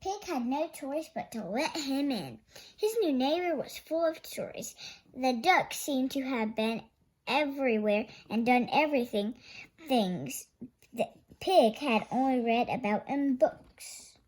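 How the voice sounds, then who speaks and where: A child reading a picture book story aloud, halting at times and correcting slips along the way. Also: sits high, lively intonation, a little mellow. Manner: halting young reader, stumbles and self-corrects. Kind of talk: read-aloud story narration.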